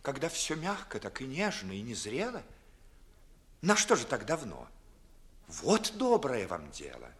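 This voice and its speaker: An actor playing a witty, ardent young gentleman in a declamatory, mocking manner, in 1950s Russian theatrical radio verse. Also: mid-range voice, wildly swooping pitch, a little slow, pauses often. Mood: ardent, wounded. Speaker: stage actor. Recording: early 1950s studio radio recording.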